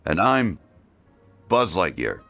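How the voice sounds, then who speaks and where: An actor playing a wary, heroic space ranger action figure in a video game, formal and commanding. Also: male voice, sits low, sing-song, slow, sharp tone, soft background music, full character voice. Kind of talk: animated video game dialogue.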